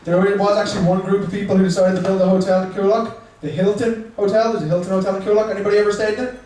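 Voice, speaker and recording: A man's voice: sits high, little pitch movement, very fast, a little mellow. A young man with a Dublin accent doing a chatty, self-mocking stand-up set in a small bar, teasing the crowd. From Ireland. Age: young adult. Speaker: stand-up comedian. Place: small bar comedy room. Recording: echoey room, mic far off.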